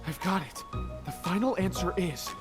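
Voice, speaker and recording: An actor playing a proud but self-doubting tinkerer in a video game, hesitant and easily flustered. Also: male voice, high voice, lively intonation, moderate pace, over music. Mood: muttering, concentrating. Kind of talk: video game character acting.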